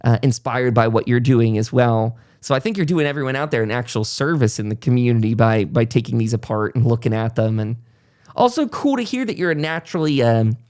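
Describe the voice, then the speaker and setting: A man's voice: mid-range voice, animated, brisk, a little mellow. An American man hosting a podcast, chatting casually in a wordy, rambling, conversational way. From United States. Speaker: talk show podcast host. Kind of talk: casual interview chat.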